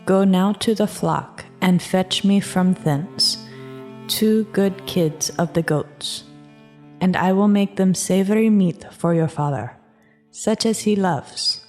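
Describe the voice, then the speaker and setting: Slow, commanding biblical mother, acted by a woman. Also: medium pitch, darkish tone, natural voice. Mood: urgent, commanding. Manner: deliberate, insistent archaic delivery. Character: commanding, scheming mother of scripture, earnest. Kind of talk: dramatized scripture reading. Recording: string music under the voice.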